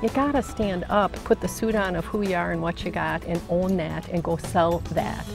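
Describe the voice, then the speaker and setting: A woman's voice: on the low side, moderate pace, creaky, over music. Emphatic middle-aged woman job coach. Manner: emphatic, energetic, pep-talk delivery. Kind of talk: documentary interview.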